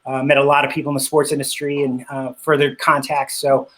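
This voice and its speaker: A middle-aged man in a casual interview, talking about his work with frequent pauses, fillers and restarts; he grew up speaking Spanish. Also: mid-range voice, level tone, fairly bright tone. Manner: hesitant, many fillers and restarts. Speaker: interview guest, cycling business owner.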